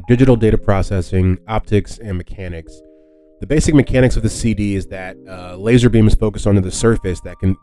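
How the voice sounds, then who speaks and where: A man's voice: low voice, dark tone. A man hosting a podcast solo, explaining things casually with rambling, conversational asides. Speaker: podcast host.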